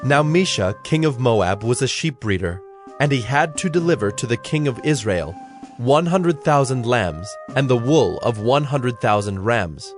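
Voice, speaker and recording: A man's voice: mid-range voice, lively intonation, a little slow. Solemn male scripture narrator over music. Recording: background music under the voice.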